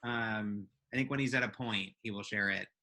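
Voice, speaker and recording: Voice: mid-range voice, moderate pace, pushed and bright. A podcast co-host chatting casually and playfully with listeners as friends on a live show.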